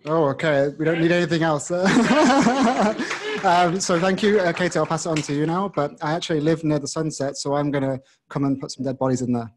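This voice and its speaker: A man with a fairly high voice hosts a live meetup. He introduces things casually, with a chatty, joking manner aimed at the room. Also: brisk, a little hiss or hum. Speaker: meetup host. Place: meetup room before a seated audience.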